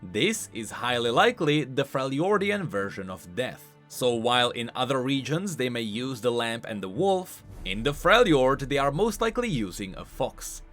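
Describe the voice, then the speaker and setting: Guy doing animated game lore explainer, voice goes up and down a lot, bit of music under it. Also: mid-range voice, moderate pace, hardly any pauses. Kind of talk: scripted explanatory commentary.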